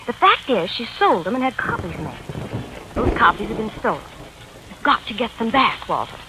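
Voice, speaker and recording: An actress playing a brisk, bossy fiancée, commanding yet coaxing and sweetly impatient, in 1940s radio style. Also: female voice, fairly high pitch, sing-song. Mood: exasperated. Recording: old 1940s radio broadcast, faint noise.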